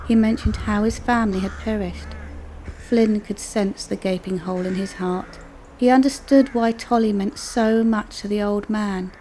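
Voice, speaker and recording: A woman's voice: mid-range voice, warm tone, slight background noise. A woman storyteller reading a scripted children's story as narration.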